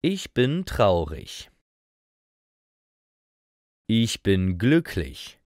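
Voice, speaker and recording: A man slowly reading example phrases for beginner learners, each phrase set apart. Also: lowish voice, very animated, a little mellow, no room sound, studio quality. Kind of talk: scripted example sentences.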